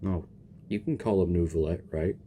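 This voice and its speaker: A man giving casual, informal reaction commentary while playing a game, expressive and full of muttered asides. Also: low voice, normal speed, slight background noise. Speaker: gaming content creator. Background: faint game music.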